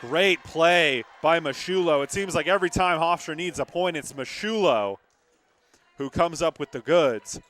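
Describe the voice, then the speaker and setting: Young guy doing volleyball play by play, voice a bit high, emphatic and chatty with his partner. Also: unhurried, a bit bright. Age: young adult. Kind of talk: live sports commentary.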